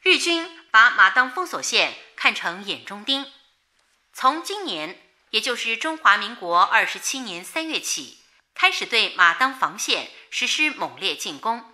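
A solemn 1930s war news lady, super bright n forceful, formal, big swings in pitch, radio play. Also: sing-song, unhurried, full character voice. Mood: grave, resolute. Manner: formal, declamatory. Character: solemn female wartime radio news announcer. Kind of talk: 1930s wartime news bulletin.

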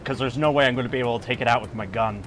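A man's voice: mid-range voice, rapid-fire, fairly bright tone, soft background music. A man giving light, casual commentary while playing a video game. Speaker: gamer recording a playthrough.

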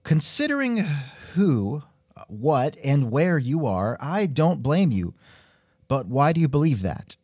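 A man hosts a comedic interview show with a wry, joking delivery. His pitch swings around animatedly, and the sound is dry and close. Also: very animated, slow, darkish tone, no room sound. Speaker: podcast host and interviewer.

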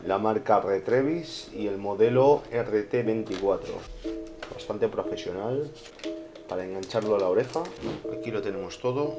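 A man's voice: lowish voice, moderate pace. Spanish man reviewing casually over music. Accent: Spain. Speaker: video reviewer. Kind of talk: casual unboxing and review narration. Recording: music behind the voice. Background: background music.

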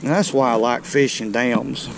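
A middle-aged man, chatting to viewers in a folksy, excited way while fishing from a riverbank, recorded on a chest-mounted camera outdoors. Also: medium pitch, normal speed, gravelly, noisy background. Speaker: fishing video host. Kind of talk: casual outdoor commentary. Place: outdoors on a rocky riverbank below a dam. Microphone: chest-mounted action camera.

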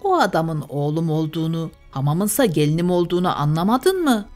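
A woman's voice: sing-song, fairly quick, a little mellow. A woman voicing a wicked, scolding old witch mother in a storybook tale, with music behind. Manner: shrill scolding.

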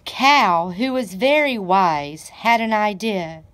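A woman reads a children's storybook aloud at a very unhurried pace. Her mid-pitched voice is expressive and deliberate, as if telling the story to young listeners. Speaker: library director reading aloud to children.

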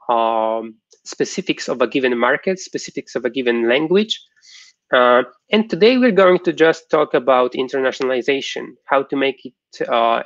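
A young man, a software developer, giving an informal technical presentation online in a halting, explanatory way. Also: medium pitch, creaky, no echo. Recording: dull, lo-fi online stream audio.

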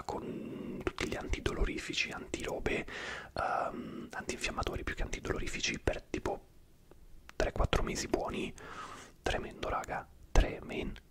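A man whispering throughout as he hosts an ASMR live stream, chatting and rambling to viewers by name. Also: a bit bright.

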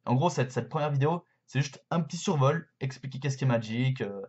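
A man's voice: medium pitch, a little fast, a little mellow, slightly rough. Chatty young man on a dull webcam. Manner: chatty, lots of hesitations and fillers. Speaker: YouTuber explaining a card game. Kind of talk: casual explainer vlog to camera. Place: bedroom with shelves, indoors.

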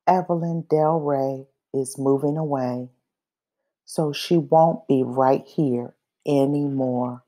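A woman reading a children's picture book aloud expressively, pausing between phrases and acting out the dialogue. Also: very deep voice, steady tone, mellow. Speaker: storybook reader.

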